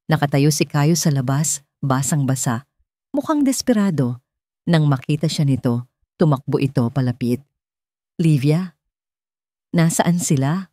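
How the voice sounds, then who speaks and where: A woman narrates a dramatic scripted story. Her voice is low and mellow, with a close, dry sound free of room echo. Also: lively intonation, moderate pace.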